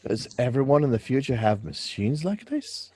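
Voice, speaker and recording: A man's voice: mid-range voice, big pitch swings, moderate pace, warm tone, husky. A man playing a curious, naive young man in a radio drama reading, hesitant and full of questions. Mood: amazed. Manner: wide-eyed, hesitant, questioning.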